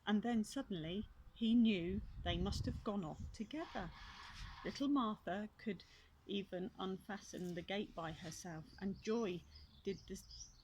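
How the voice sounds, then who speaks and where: An older woman reading a children's story aloud, expressively, with gently chatty asides to young listeners. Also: medium pitch, unhurried, rough recording. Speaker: grandmother reading a children's book. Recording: clear background noise and clicks.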